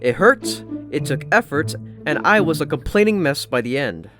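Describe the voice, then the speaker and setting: A male narrator reads a first-person story in a slightly high voice. Music plays clearly behind his scripted delivery. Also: big pitch swings, moderate pace, fairly bright tone. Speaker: audiobook narrator. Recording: narration over prominent music.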